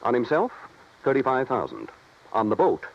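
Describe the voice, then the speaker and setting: An actor playing a businesslike insurance man, measured and matter-of-fact, in 1950s radio drama. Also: mid-range voice, huge pitch swings, creaky, heavily acted. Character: businesslike male insurance man briefing an investigator. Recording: dull vintage radio recording.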